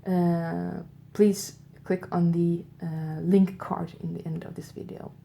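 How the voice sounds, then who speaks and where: A woman's voice: on the low side, level tone, takes it slowly, vocal fry, warm tone, a little hiss or hum. A woman with a Swedish accent hosting an improvised, radio-style audio show. She chats on her own, musing aloud and turning to her listeners with curious questions. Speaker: host of an audio advent show.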